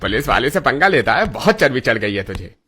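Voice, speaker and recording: A man's voice: medium pitch, very animated, fairly quick, bright, forceful tone. A man playing a bullying, abusive street policeman in a cartoon story, coarse and threatening. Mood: angry, threatening.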